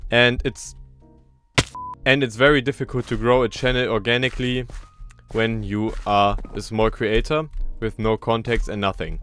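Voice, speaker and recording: A young man with a clear German accent chats loosely while playing a game, wandering between explanations and small asides. His voice sits at an ordinary middle pitch, and soft game music runs quietly behind him. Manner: chatty, self-deprecating, thinking aloud. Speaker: gaming YouTuber. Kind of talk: casual gameplay commentary.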